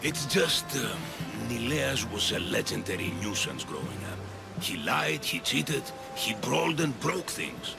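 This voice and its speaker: Gravelly, animated quest-giver. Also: male voice, mid-range voice, a little slow, loud music behind the voice, full character voice. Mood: frank, concerned. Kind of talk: video game cutscene acting.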